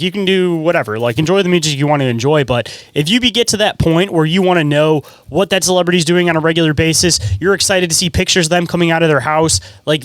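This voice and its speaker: A man's voice: on the high side, fairly bright tone, no echo. A man hosting a podcast in casual chat, with slangy, conversational phrasing full of filler words. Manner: slangy, filler-heavy, says "like" and "dude" often. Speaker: podcast host.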